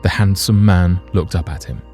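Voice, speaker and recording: Male narrator for an audio drama, dark low voice, literary storytelling, light music bed. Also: normal speed.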